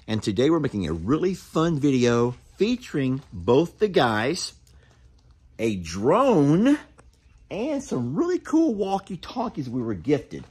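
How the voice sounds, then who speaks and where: Man, 30s, husky farm vlogger, animated delivery. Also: mid-range voice, takes it slowly. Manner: folksy, explains to viewers, hollers to his kids. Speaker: vlog host. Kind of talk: casual farm vlog. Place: outdoors on a rural property. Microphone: phone camera at arm's length.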